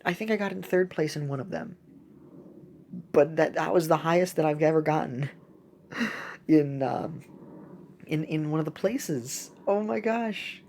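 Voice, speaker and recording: A woman's voice: fairly low pitch, vocal fry, darkish tone. A woman giving casual, rambling gameplay commentary as a video host, with playful wordplay.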